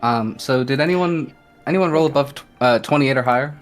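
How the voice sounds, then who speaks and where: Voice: mid-range voice, a little fast. Hesitant game master narrating over faint music. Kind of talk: narrating a tabletop roleplaying game.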